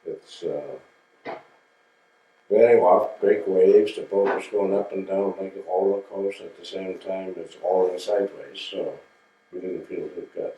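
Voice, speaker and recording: An older man from Denmark tells his story slowly and plainly, now and then stopping to correct himself. His voice is very low and mellow. The recording sounds roomy and picked up from a distance. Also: fairly even intonation, a little hiss or hum. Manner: slow, plain storytelling with restarts and self-corrections. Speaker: interviewee. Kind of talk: oral history recollection.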